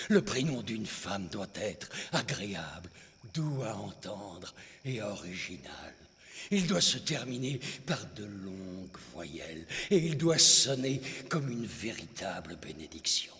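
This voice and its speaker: A fervent, obsessed archdeacon, cavernous reverb. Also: male voice, low voice, sing-song, slow pace, sharp tone, slight background noise, heavily acted. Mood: brooding, infatuated. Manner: sententious, fervent, dramatic. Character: obsessed, pious, cruel male archdeacon. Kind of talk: theatrical animated film dub. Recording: long hall reverb, music underneath.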